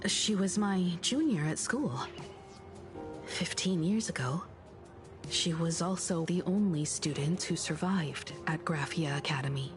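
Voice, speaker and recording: A serious, analytical female strategist from a video game, acted by a woman. Her lowish voice is measured and formal, set over clear background music. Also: lightly acted. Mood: somber.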